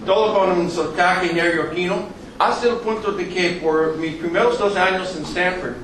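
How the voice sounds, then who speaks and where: Man, American English accent, native English speaker, halting with fillers, slightly high voice, noisy recording. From New York, United States. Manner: halting, many fillers, joking asides. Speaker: guest lecturer. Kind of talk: public lecture with jokes. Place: lecture hall. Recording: echoey hall, distant mic, background noise.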